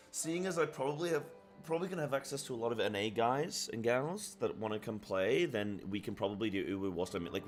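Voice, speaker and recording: A man streaming from his apartment, chatting casually, thinking aloud and reading chat aloud. He has a deep, carrying voice and talks loudly by habit. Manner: loud by habit. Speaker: live streamer. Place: apartment room at home.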